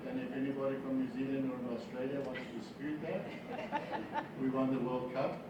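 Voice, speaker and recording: A man's voice: mid-range voice, moderate pace, dull audio, very noisy. South African male speaker, wry and informal business talk, very reverberant room, distant mic. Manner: wry, self-deprecating humor. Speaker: business founder, guest speaker. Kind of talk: informal motivational business speech.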